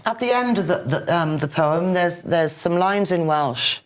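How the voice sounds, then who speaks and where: A woman's voice: on the low side, animated, measured pace. A woman co-presenting a poetry show, who stumbles and repeats words as she asks questions. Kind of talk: casual chat.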